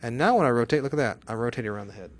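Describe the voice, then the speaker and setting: A man teaches software in a casual screencast style, talking at a fast clip. His pitch is mid-range, and he is chatty, punctuating the lesson with exclamations and quick questions to the learner. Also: animated. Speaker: software instructor.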